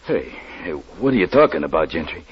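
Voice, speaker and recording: An actor playing an anxious, loyal crook in a radio drama, rough slangy speech, stammering and agitated. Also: male voice, low-pitched, very animated, fairly quick. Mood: anxious, subdued. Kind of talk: 1940s radio drama acting. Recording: muffled crackly old radio recording.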